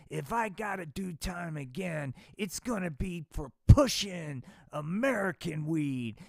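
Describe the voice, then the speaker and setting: A man's voice: on the high side, gravelly, dry recording, studio quality, heavily acted. A man voicing a hot-tempered, profane street dealer with a swagger.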